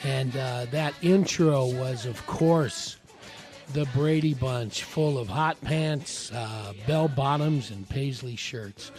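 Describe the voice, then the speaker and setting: A man hosting a casual solo podcast, hoarse from laryngitis, rambling along with many stops and restarts. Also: medium pitch, slow, a little mellow, soft background music. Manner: rambling, with frequent uh fillers and restarts. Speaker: podcast host.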